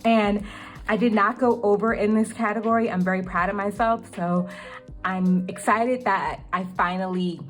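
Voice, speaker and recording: A woman's voice: medium pitch, steady tone, moderate pace, soft background music. Chatty young woman with a cracking voice. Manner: chatty, with many ums. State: slightly cracking voice. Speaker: budgeting vlogger. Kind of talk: casual budgeting walkthrough.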